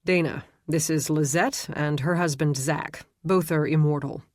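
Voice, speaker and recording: A woman's voice: sits low, unhurried, dry recording, clean studio sound. A woman voicing an easygoing man who teases his friends. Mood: teasing. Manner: joking and relaxed. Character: easygoing, teasing immortal man.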